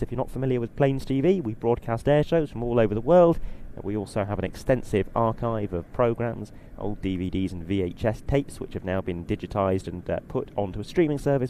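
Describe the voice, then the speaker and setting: A man with a slightly husky, mellow voice presents live airshow coverage. He explains things in a measured, informative manner, a little short on sleep, with airfield noise behind him. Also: fairly low pitch, expressive. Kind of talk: live broadcast commentary, explanatory and ad-libbed.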